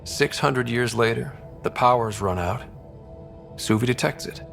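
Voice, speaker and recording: A man's voice: mid-range voice, unhurried, gravelly, fairly bright tone, soft background music. An actor playing an easygoing young male space explorer in a sci-fi game, with a casual, dry, understated delivery. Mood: focused. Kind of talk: naturalistic sci-fi game acting.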